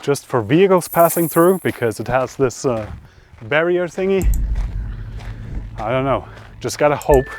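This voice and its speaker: A man's voice: mid-range voice, slight background noise. A man hosting a travel vlog, talking casually and conversationally to camera outdoors, often thinking aloud. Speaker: travel vlogger, host. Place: outdoors by roads, lakeside and mountains.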